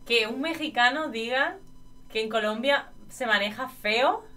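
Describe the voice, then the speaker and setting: A woman's voice: a little high, unhurried, bright, forceful tone, far from the mic, soft background music. A young woman with a Spanish accent chatting casually on a reaction stream. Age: young adult. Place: streaming room.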